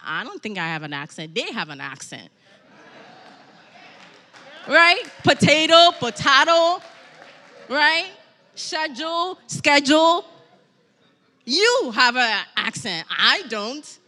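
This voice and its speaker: A middle-aged woman preaching a sermon, animated and emphatic, repeating key phrases and breaking into personal asides. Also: high voice, in a large hall. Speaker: guest preacher.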